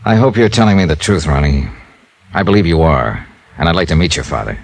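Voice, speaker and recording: An actor playing a tough, blunt, sardonic insurance investigator in an old-time radio drama. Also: male voice, extremely low pitch, lively intonation, a little fast, no echo, heavily acted. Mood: probing.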